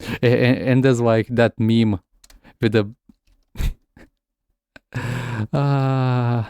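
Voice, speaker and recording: A mid-pitched man whose first language is Russian chats casually through a gaming stream. He stammers and repeats himself often, and his voice sits dry and close to the microphone. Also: steady tone, very slow, a little mellow, clean tone, dry recording. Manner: switches between English and Russian.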